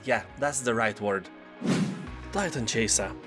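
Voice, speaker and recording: A man's voice: medium pitch, a little slow. A man narrating scripted game reviews, with sarcastic, joking asides. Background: faint background music.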